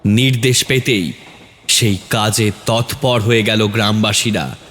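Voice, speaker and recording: A man's voice: fairly low pitch, measured pace, fairly bright tone, slight background noise. Reverberant male horror story narrator. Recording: long reverb on the voice.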